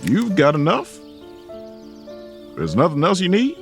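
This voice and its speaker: An actor playing a steady, protective, affectionate man in an audio drama, tender and reassuring. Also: on the high side, unhurried, vocal fry, faint music behind, heavily acted. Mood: concerned. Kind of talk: naturalistic audio drama acting.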